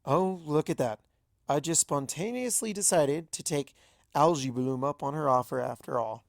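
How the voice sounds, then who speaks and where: A woman's voice: sits low, normal speed, gravelly, no echo, clean studio sound. A woman voicing a hurt, bitter young female pony in a storybook reading, accusing and sarcastic with pointed rhetorical questions. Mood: cold, spiteful. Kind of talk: dramatised fan fiction reading.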